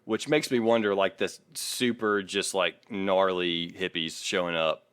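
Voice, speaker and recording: Low-voiced, close-miked man hosting a podcast. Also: steady tone, unhurried, fairly bright tone, smooth, no echo. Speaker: podcast host. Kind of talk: casual music podcast talk.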